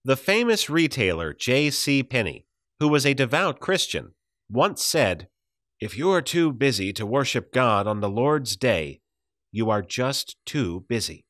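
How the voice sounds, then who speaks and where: A man narrates a devotional audiobook at an unhurried pace, enunciating with care. His pitch moves in lively swings across phrases. The sound is dry and close, free of room reflections. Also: dry recording. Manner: measured, deliberate enunciation.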